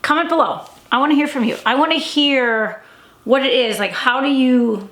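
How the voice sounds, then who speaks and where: A woman's voice: high voice, moderate pace, fairly bright tone, roomy sound. A woman talking to camera in a casual daily vlog, rambling with many fillers and restarts, a little stuffy and congested. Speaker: vlogger and coach.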